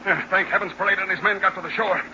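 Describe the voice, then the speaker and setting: A man's voice: sits high, steady tone, racing, lightly acted. An American actor playing a commanding male aviator hero in a 1940s radio serial, giving urgent, clipped orders. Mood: brisk, determined. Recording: noisy, dull old 1940s radio recording.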